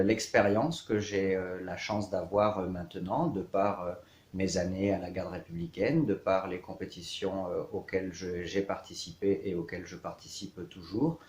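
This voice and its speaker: A man in his thirties from south-east France, a riding coach, introducing himself in measured, hesitant short phrases for an online course. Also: deep voice, darkish tone. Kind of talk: scripted-outline self-introduction to camera for an online course. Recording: echoey, reverberant room.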